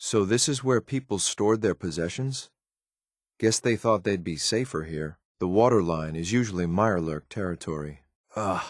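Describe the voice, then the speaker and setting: A grim synthetic soldier from a video game, with a low voice in a dry, close recording. He speaks in clipped, deadpan phrases with cold disdain for the world around him. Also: no room sound. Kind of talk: scripted video game companion lines.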